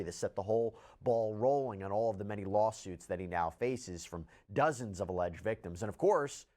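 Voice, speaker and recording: A man presenting legal news updates as a commentator, stressing key words and posing rhetorical questions. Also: on the low side. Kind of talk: news explainer narration, presenting case updates.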